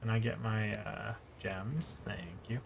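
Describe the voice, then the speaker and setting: A man's voice: on the low side, fairly even intonation, slow, gravelly, background noise. A man giving live video game commentary, thinking aloud and exclaiming in frustration as he plays. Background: video game music.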